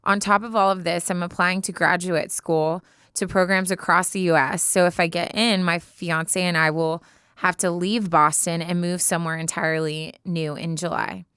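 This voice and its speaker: Casting: American female podcast co-host, crisp tone, dry close studio sound, casual and slangy delivery. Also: on the low side, flat delivery, moderate pace, fairly bright tone, no room sound. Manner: informal, slangy. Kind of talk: casual banter and reading a listener letter aloud.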